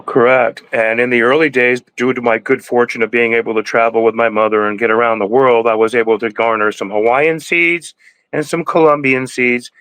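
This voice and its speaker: A man's voice: mid-range voice, fairly even intonation, brisk, a bit bright, clear, resonant tone, no echo, low-quality recording. A man in his sixties, a podcast guest, talking in a rambling, preachy way with many restarts. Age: 60s. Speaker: podcast guest, cannabis breeder. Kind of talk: casual interview talk.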